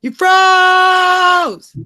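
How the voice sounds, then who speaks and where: A woman's voice: very high-pitched, very slow, pushed and bright. A woman co-hosting a live stream in casual, unscripted chat, blunt and slangy in how she talks.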